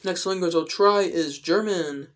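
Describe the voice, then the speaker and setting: A young adult man explaining things in a casual vlog style, with careful, halting phrasing, recorded indoors at home. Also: high-pitched, fairly bright tone. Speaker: video creator. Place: indoors, small room at home.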